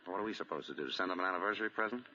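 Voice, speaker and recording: An actor playing a suave, wry American husband and amateur detective with deadpan wit, in 1940s radio style. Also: male voice, low-pitched, racing. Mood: wry, teasing. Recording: muffled, faintly noisy 1940 radio broadcast.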